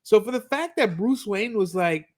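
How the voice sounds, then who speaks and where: Male podcast co-host, high-pitched voice, casual fan recap, repeats himself while finding words. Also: animated, normal speed. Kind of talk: casual chat, recapping and commenting on a cartoon.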